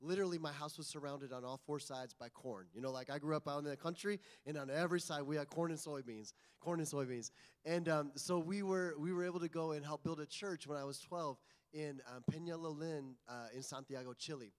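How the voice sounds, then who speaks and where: A man's voice: a little high, steady tone, a little fast, long reverb tail. Earnest man telling his story. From Indiana, US. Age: 40s. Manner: earnest storyteller, lots of ums. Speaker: guest ministry leader. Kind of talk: personal testimony. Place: church sanctuary.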